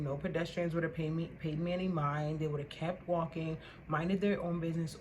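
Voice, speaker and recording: Woman, young adult, vlogger, loud voice, indignant rant, repeats words, faint background noise. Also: low-pitched, low-quality recording. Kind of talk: casual rant to camera.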